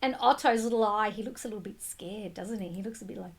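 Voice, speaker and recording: A woman reading a picture book aloud to children in an expressive way, with simple explanatory asides addressed to them. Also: mid-range voice, fast talker, husky. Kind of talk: animated storybook read-aloud with asides to children.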